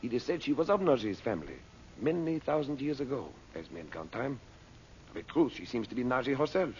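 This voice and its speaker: An actor playing a sly, mock-humble sorcerer, over-polite in broken English, in radio melodrama style. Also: male voice, medium pitch, very animated, brisk, a little mellow, close to an everyday voice. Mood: secretive. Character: sly, obsequious male foreign sorcerer, mock-humble schemer. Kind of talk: radio serial melodrama acting. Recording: muffled, hissy old radio recording.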